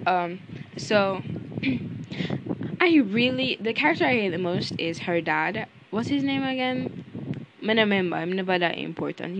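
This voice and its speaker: A woman's voice: on the low side, background noise. A young woman in a casual book review vlog, ranting bluntly and chattily while she is losing her voice.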